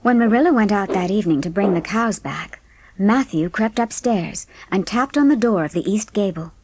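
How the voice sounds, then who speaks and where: A woman's voice: medium pitch, animated, normal speed, noisy background. A woman reading scripted narration for a dramatised classic audiobook.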